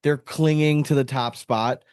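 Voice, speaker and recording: A man's voice: medium pitch, steady tone, unhurried, a little mellow, clear, resonant tone, no room sound, clean studio sound. A man co-hosting a podcast, talking casually and analytically about sport in a blunt, profane, slangy way. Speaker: podcast co-host.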